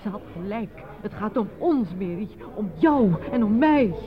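A woman's voice: mid-range voice, expressive, a little slow, natural voice. An actress playing a frightened, agitated woman in a radio drama, urgent and stammering. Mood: urgent, fearful. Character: frightened, agitated woman insisting she is sane. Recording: phone-like band, crowd noise behind.

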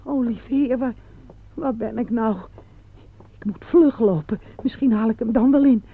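A frail, anxious old lady in a radio play. Her voice is high and breathy, and she speaks very slowly, halting and pleading as she repeats her words. It comes from a muffled, narrow old recording. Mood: frightened. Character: frail, timid, anxious elderly woman, pious mother-in-law.

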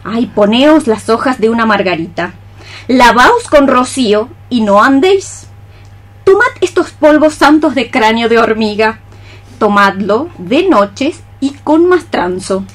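A woman's voice: on the high side, expressive, measured pace. A woman playing a melancholy, dreamy cockroach mystic in radio theatre, sighing her lines as solemn lyrical verse.

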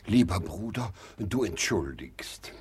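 A man's voice: deep voice, big pitch swings, slow, darkish tone, slight background noise, full character voice. An actor playing an irritable middle-aged hypochondriac father in a German radio comedy, peevish, dramatic and self-pitying. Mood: sheepish, hesitant. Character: irritable hypochondriac father, master of his house. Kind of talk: German radio play acting of classic comedy.

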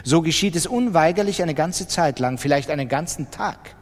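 A man's voice: a little high, animated, moderate pace. An actor playing an obsessive, self-mocking gambler who confides his brooding thoughts in a literary radio monologue. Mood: absorbed, calculating. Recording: long reverberant hall sound.

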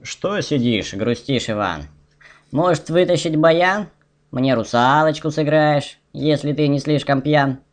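A man's voice: fairly high pitch, a little slow, voice pushed up, natural voice. Guy putting on an old bog ghoul, greedy sly trader, rhyming fairy tale, takes his time. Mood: sly wheedling. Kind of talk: rhymed comic verse audio tale.

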